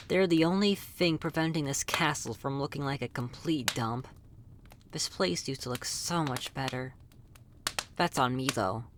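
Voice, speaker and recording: A woman performs a centuries-old vampire lady with a low voice, gentle and wistful. She speaks softly and confidingly, as in an intimate ASMR roleplay, with a gently teasing fondness. Some background noise sits behind her. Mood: fond, teasing.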